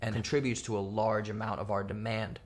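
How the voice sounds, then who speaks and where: A man's voice: on the low side, level tone, a little fast. A man answering interview questions methodically, laying out points one by one with many fillers. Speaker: guest, company founder.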